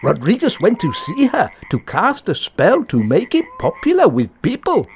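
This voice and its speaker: Man acting a lively, nosy village gossip. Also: sits high, normal speed, a little hiss or hum, exaggerated voice. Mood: gossipy. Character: nosy, gossiping male villager, eager and tactless. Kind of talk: comic radio play acting.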